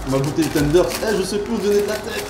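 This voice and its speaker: A man's voice: high voice, very fast. A man chatting casually as he reviews food, repeating phrases for emphasis. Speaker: vlog host tasting food. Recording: roomy echo, music over voice. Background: music.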